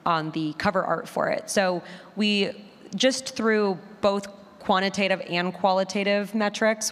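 A woman speaking as a podcast producer, explaining her work in a panel discussion. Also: on the low side. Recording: long echo of a hall.

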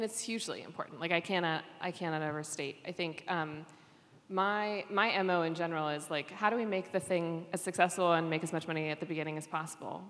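A woman speaking off the cuff on a panel, emphatic and often restarting her phrases. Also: on the low side. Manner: emphatic, halting with restarts and repeats. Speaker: panelist from a fiction podcast company. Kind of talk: unscripted panel discussion. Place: indoor conference panel hall. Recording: reverberant hall.

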